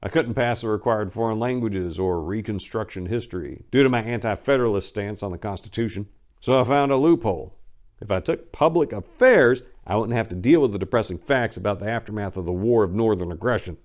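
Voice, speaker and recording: A man giving an expressive dramatic reading in the manner of a personal memoir, shifting between emphatic and hushed delivery as a host. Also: fairly low pitch, brisk.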